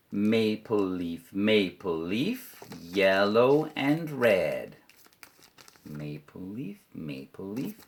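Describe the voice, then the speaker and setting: A Canadian man with a deep, low voice teaching English to children online. He talks slowly and lets his pitch swing widely, playful as he leads simple chants and repeats each line clearly. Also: big pitch swings, slight background noise.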